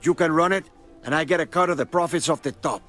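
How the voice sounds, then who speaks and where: An actor playing a cocky Cuban drug lord. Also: male voice, fairly high pitch, fast talker, fairly bright tone, soft background music, lightly acted. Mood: confident dealmaking. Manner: swaggering, profane. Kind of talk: video game cutscene acting.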